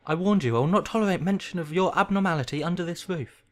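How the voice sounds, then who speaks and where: A man's voice: medium pitch, lively intonation, brisk, pushed and bright. A man voicing a furious, bullying middle-aged uncle from a storybook, roaring and snarling his words. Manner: roaring, snarling, thundering delivery.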